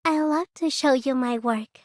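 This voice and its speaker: A woman's voice: high-pitched, a little slow, no echo, studio quality. A woman voicing a cheerful, eager young female operator in an anime-style game. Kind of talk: anime game character voice line.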